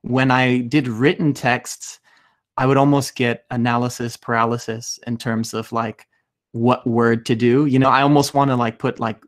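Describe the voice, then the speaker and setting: Young guy hosting a podcast from home, mid pitch, thoughtful, lots of restarts and fillers, studio mic. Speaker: podcast host. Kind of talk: casual video-call interview. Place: small room at home. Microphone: studio mic with headphones.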